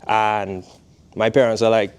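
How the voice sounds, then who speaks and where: Young trinidadian guy, smooth clear voice, chill chatty class talk, keeps going "you know". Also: fairly low pitch, level tone, measured pace. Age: 20s. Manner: laid-back, conversational, asks "you know what I mean". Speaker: guest speaker, footwear designer. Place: college classroom.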